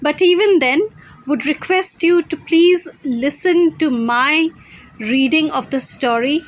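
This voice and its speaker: A woman with a high-pitched voice presents material as an amateur storyteller. Her pace is very slow and deliberate. She phrases carefully and expressively. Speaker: amateur storyteller and channel host. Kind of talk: dramatised story reading.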